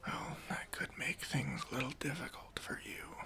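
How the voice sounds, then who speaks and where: A man playing a stingy, demanding boss in an ASMR roleplay, speaking in a breathy, hesitant whisper with condescending politeness, close to the microphone. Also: mid-range voice, big pitch swings, normal speed, close to an everyday voice. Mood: condescending, fussy. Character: stingy, demanding male boss giving a job interview.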